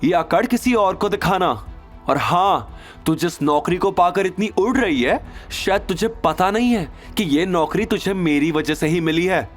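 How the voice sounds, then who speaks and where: A man's voice: high-pitched, animated, a little slow, soft background music, voice pushed up. A man voicing a haughty, spiteful young woman who sneers with scorn in an audio drama. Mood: haughty anger.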